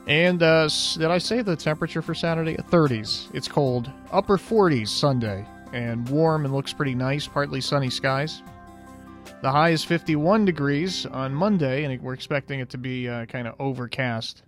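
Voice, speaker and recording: A man's voice: fairly high pitch, moderate pace, soft background music. Tired-voiced male radio host. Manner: easygoing and rambling, with frequent "uh"s. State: tired, fading voice. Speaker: radio call-in show host. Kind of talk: casual call-in radio talk.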